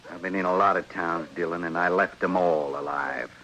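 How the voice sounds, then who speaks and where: Menacing low-fidelity radio western gunman. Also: steady tone, normal speed, pushed and bright. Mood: taunting menace. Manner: taunting, threatening, coolly confident. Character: menacing vengeful gunman in the Old West. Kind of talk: 1950s radio western acting. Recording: dull, hissy old radio recording.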